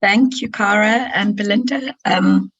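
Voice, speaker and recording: A woman with a croaky, cold-roughened voice, earnestly explaining a community project in a webinar with frequent ums. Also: medium pitch, measured pace. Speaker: resident and community organiser. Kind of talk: webinar presentation. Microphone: video call. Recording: muffled video call audio.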